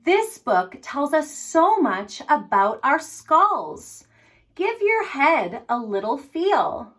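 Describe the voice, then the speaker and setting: A woman hosting story time for young children, speaking in an animated, encouraging way straight to her little listeners. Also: high-pitched, takes it slowly. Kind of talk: reading and singing aloud to young children.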